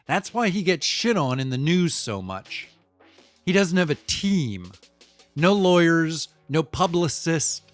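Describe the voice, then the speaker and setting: A male actor plays a pragmatic, cynical businessman in an audio fiction monologue. His voice sits a little high for a man. He explains things in a conversational, matter-of-fact and opinionated way, with music underneath. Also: no echo. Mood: blunt, opinionated.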